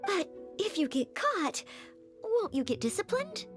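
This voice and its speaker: Very high-voiced, eager, helpful maid girl. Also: big pitch swings, unhurried, music in the background, full character voice. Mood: attentive, curious. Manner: polite, earnest, attentive. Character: eager, modest, helpful young maid knight girl Noelle. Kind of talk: video game voice acting.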